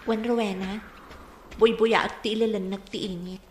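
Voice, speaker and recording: A woman's voice: mid-range voice, steady tone, moderate pace, lightly acted. An actress playing a wistful, troubled village woman who longs for peace, in an earnest, reflective radio drama style. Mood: wistful hope. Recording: echoey room with faint noise.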